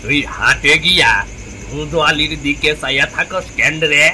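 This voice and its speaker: A man's voice: on the high side, normal speed, bright, forceful tone. Man playing a stern father, noisy background. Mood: angry, bitter. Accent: eastern Bengali (Bangladeshi). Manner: harsh, lecturing, repetitive. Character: stern, bitter fishmonger father, middle-aged. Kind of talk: dramatic cartoon voice acting. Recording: clearly noisy background ambience.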